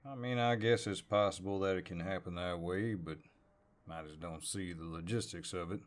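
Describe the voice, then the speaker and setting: A man playing a polite, teasing cowboy neighbor in an audio roleplay, with a deep voice and a hesitant Southern US drawl. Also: moderate pace, natural voice. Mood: warm teasing. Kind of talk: audio roleplay, intimate one-sided dialogue.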